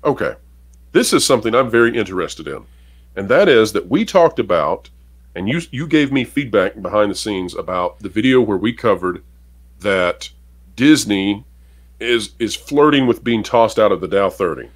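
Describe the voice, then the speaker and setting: A man's voice: lowish voice, sing-song, moderate pace. A man co-hosting a casual commentary show, reading out an article and giving emphatic opinions to viewers. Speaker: podcast co-host.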